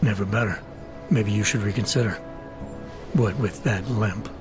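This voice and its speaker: Skeptical special agent, man acting dry and terse, lowish voice, video game scene over music. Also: moderate pace, natural voice. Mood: dry, guarded. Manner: laconic, dry, questioning.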